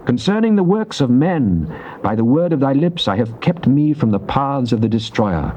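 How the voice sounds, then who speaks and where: An actor playing a devout, earnest psalmist, reciting scripture in a solemn, measured, declamatory theatrical style. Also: male voice, medium pitch, very animated, mellow, lightly acted. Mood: earnest prayer. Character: devout psalmist, earnest man praying to God. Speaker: dramatized scripture reader. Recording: dull noisy cassette tape transfer.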